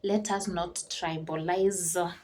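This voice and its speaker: A woman's voice: lowish voice, fairly even intonation, slow, a little mellow, a little hiss or hum. A young woman from Kenya, chatting earnestly as she answers viewer questions in a casual vlog, with fillers and restarts. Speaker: vlogger.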